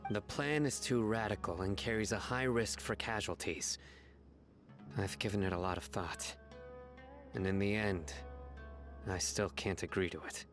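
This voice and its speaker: Man acting as principled ex-enforcer, lowish voice, grave, formal, cautious, game dialogue, clear music behind. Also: measured pace, pauses often, close to an everyday voice. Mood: grave, disapproving. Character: stern male former law enforcer, adult, principled and cautious. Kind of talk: dramatic video game dialogue.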